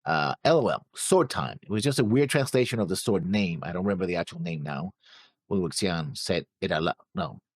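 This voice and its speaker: A man co-hosting a casual reaction show, reading comments aloud haltingly and stumbling over names. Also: deep voice, lively intonation, dry recording, studio quality.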